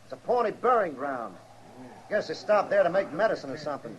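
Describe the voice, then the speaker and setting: High-pitched, grim cavalry lieutenant. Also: male voice, sing-song, fairly quick. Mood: grim, weary. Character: grim, battle-worn US cavalry lieutenant of the frontier. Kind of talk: 1950s radio western acting. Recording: dull old radio recording.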